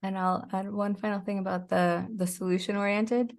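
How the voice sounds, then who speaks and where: A woman's voice: mid-range voice, little pitch movement, normal speed, darkish tone. A woman speaking as an interview guest, direct and emphatic, in short declarative sentences. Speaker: interview guest, performer and podcaster. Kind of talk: conversational interview talk.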